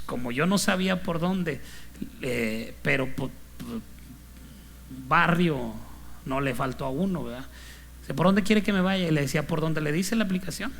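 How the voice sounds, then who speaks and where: Casting: male pastor, northern Mexican accent, fairly high voice with a creaky edge, clipped, story-driven preaching. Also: a bit bright, slight background noise. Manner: punchy, clipped delivery. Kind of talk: conversational sermon with anecdotes.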